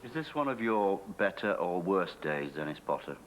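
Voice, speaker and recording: A man's voice: low voice, sing-song, moderate pace, poor audio. Male television interviewer, swooping intonation, slightly hissy archive sound. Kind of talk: interview questioning. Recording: archive broadcast with faint hiss.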